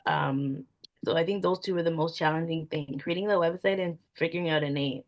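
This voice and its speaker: Young woman, lowish voice, casual interview talk, frequent "like" fillers. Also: a little fast. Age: young adult. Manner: soft-spoken, frequent "like" fillers. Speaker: event planner guest.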